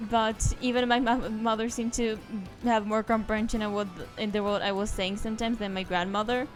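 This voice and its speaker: A woman's voice: on the high side, level tone, moderate pace, soft background music. Chatty woman streamer. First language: Czech. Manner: rambling and chatty, trails off into asides. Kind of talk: casual let's-play commentary.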